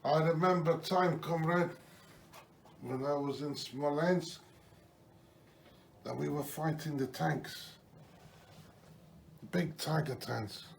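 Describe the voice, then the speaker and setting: Casting: fervent wounded Red Army comrade male amateur acting, high male pitch, declamatory repetitions, distant roomy sound. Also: takes it slowly, gravelly, long pauses. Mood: reminiscing. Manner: repeats phrases, declamatory. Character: zealous wounded Soviet soldier, devoted to the motherland. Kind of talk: amateur improvised war role-play. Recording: echoey, distant, noisy room recording.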